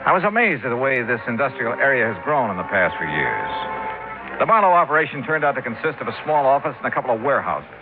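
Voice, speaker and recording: An actor playing a sharp, skeptical hardboiled insurance investigator in 1950s radio drama, American, with a brisk, wry, probing delivery. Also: male voice, medium pitch, very animated, narrow, tinny audio, music in the background. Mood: wry, matter-of-fact. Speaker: lead actor and narrator. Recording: thin, noisy band-limited vintage radio recording.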